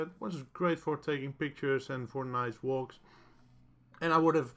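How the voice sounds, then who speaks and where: Halting man giving a tutorial. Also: fairly high pitch, fairly bright tone. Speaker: tutorial host. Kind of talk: casual screen-recorded software tutorial.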